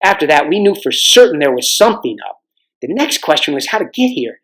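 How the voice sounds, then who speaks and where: A man's voice: a little high, sing-song, brisk, a bit bright, low-quality recording. A man voicing a chatty, eager schoolboy in a storybook, explaining things informally.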